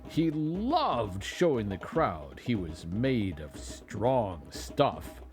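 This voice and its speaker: A man narrating a children's bedtime story expressively, with dramatic, drawn-out phrasing. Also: lowish voice, big pitch swings, a little mellow. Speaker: parent reading a bedtime story. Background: music and added sound effects.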